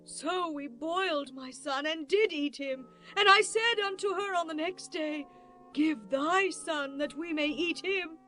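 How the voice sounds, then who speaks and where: A woman playing a desperate, starving mother in a scripture drama, pleading in drawn-out phrases. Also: high-pitched, bright, forceful tone. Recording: music bed under the voice.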